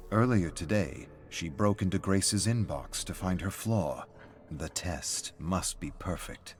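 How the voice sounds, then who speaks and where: A man reading a scripted dark story as a narrator. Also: low voice. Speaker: reader of story entries. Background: music.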